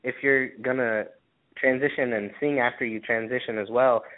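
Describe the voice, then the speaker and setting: A man's voice: medium pitch, steady tone, moderate pace. A man chatting casually as a musician guest in an interview. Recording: thin phone line, radio show.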